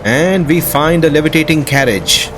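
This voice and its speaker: Higher-voiced man commentating over loud music. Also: moderate pace. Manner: enthusiastic, appreciative. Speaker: host of a game modding news show. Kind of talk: gameplay commentary. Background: prominent game music.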